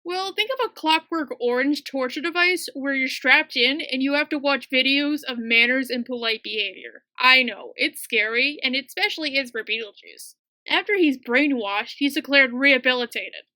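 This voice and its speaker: A woman's voice: sits high, moderate pace, sharp tone, no room sound. A young woman narrating a casual scripted video review, chatty and full of wry asides.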